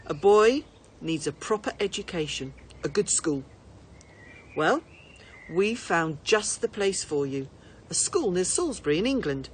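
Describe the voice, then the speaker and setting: A firm father, played by a woman reading aloud, lectures his child briskly and formally. He is stern but reasoning, with some noise behind the voice. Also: on the low side, animated. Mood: firm, persuasive.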